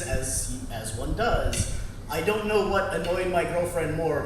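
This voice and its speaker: A young man doing a stand-up set, delivering dry jokes with many halting fillers. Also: a little high, distant mic, background noise. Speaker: stand-up comic. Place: small comedy club. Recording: echoey room, mic heard from afar. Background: crowd ambience and laughter.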